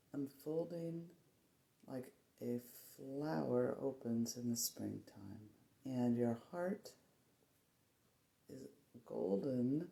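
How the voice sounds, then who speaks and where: A meditation guide speaking soothingly in short, measured phrases with long pauses, offering spiritual affirmations. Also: medium pitch, gravelly, warm tone. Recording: roomy echo, faint background noise.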